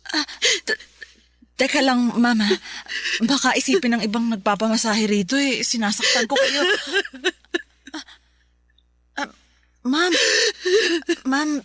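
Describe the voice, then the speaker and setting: A woman's voice: a little high, measured pace, long pauses, a bit bright, no room sound. A Filipino woman playing a polite, caring spa masseuse in a radio drama, chatty, deferential and reassuring. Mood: flustered, worried.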